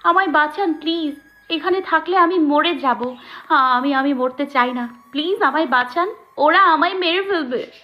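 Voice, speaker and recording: A woman's voice: high-pitched, unhurried, highs cut off, soft background music. A woman playing a terrified, helpless young woman in an audio story, pleading desperately for her life.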